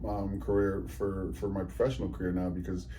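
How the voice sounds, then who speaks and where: A man in his forties talking in a relaxed interview, easygoing and earnest, often repeating and restarting words. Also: sits low, fairly quick, a little hiss or hum. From New Zealand, raised in Ontario, Canada. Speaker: guest, charity executive. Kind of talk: casual interview conversation.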